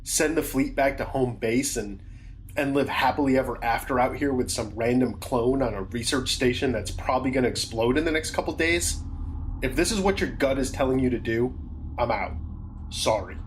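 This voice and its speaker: Mid-pitched male guard played by a man, blunt, doubting, by-the-book, casual wording, sci-fi audio drama with faint ambience. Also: moderate pace, slight background noise, close to an everyday voice. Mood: uneasy, defiant. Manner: blunt, questioning, colloquial. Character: skeptical rule-following male security team member, jittery and blunt. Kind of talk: science fiction audio drama acting.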